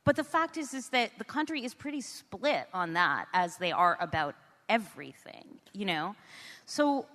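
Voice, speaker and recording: A woman's voice: mid-range voice, very animated, moderate pace, a bit bright. An American woman giving informal, emphatic interview answers, punctuated with rhetorical questions. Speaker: interview guest, author. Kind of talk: conversational interview. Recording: echoey hall with long reverb.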